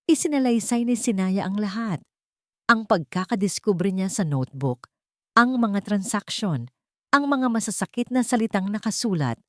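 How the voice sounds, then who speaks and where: A woman's voice: medium pitch, no room sound, studio quality. A woman with a Filipino accent reading a scripted dramatic story as narrator.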